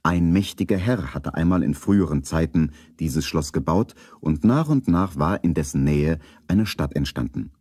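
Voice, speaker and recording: A man narrating a children's story in a calm, scripted 1970s radio play style. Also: rumbling low, normal speed, hardly any pauses, slightly rough, no room sound, studio quality, full character voice.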